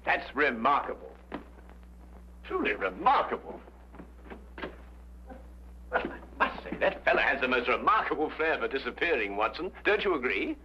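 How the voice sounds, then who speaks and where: A mild-mannered English gentleman detective in a vintage drama. His intonation is lively, his manner courteous and a little bewildered. The sound is dull and dated. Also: mid-range voice, normal speed, pauses often, a little hiss or hum. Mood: bemused. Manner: polite, hesitant, bemused. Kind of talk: old-time detective drama acting. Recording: dull old broadcast recording.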